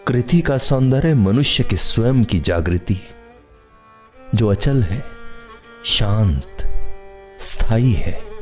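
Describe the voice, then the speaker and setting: An actor in a Hindi radio play playing a solemn, wounded reclusive writer with a grave, declamatory manner. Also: male voice, fairly low pitch, warm tone.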